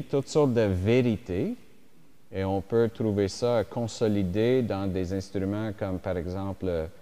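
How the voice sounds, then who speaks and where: A man whose first language is English, giving a slow, reflective French homily as a bishop at mass, with pauses and fillers. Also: on the low side, mellow. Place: church. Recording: reverberant church hall.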